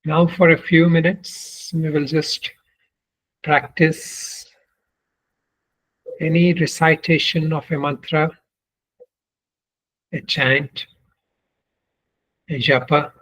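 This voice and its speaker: A man leading a guided meditation as a spiritual teacher, speaking in short, deliberate phrases with long pauses. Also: fairly high pitch, flat delivery, fairly bright tone, poor audio. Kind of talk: guided meditation, spoken instruction.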